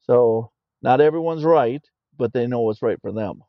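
Middle aged army vet telling stories, gravelly voice, kinda dull dry recording. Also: male voice, mid-range voice, moderate pace, no room sound. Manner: plainspoken, tells stories. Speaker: podcast guest, army veteran. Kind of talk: conversational interview.

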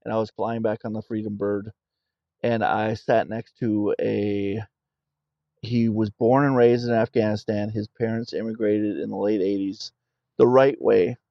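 A man's voice: fairly low pitch, steady tone, measured pace, creaky, dry recording, muffled recording. A middle-aged man, an army veteran guest on a podcast, talking in a plainspoken way and telling stories. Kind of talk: conversational interview.

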